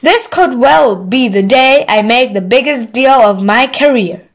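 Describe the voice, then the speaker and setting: A woman's voice: a little high, lively intonation, unhurried. A woman storyteller gives voice to an overbearing middle-aged uncle from a storybook. He is pompous and self-important, and likes to order his household about. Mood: self-important, bossy.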